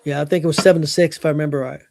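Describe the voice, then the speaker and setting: A man's voice: on the high side, fairly even intonation, rapid-fire, creaky, noisy background. A man co-hosting a casual sports chat, easygoing and hesitant, giving sports updates and asking questions. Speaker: co-host.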